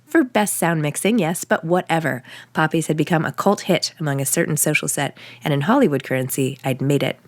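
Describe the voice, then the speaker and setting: A woman's voice: low-pitched, a little fast, no room sound. A middle-aged woman with an American accent, an author talking casually and reading aloud in the first person. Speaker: author and podcast host.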